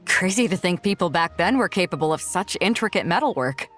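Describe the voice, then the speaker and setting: An actress playing a confident, playful sea captain in a video game, talking in a casual, easygoing way. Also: female voice, lowish voice, animated, fairly quick, a bit bright, soft background music. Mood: impressed.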